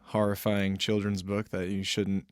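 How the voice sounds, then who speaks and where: A man's voice: fairly low pitch, completely flat delivery, measured pace, smooth, dry recording, studio quality. A laid-back, wry man hosting a podcast, chatting casually through promos. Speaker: podcast host and author.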